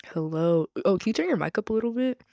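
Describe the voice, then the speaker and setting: A woman's voice: medium pitch, lively intonation, fast talker, vocal fry, dark tone, no room sound, clean studio sound. An American woman hosting her podcast, chatting casually and upbeat with a guest. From United States. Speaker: podcast host. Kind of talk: casual interview chat.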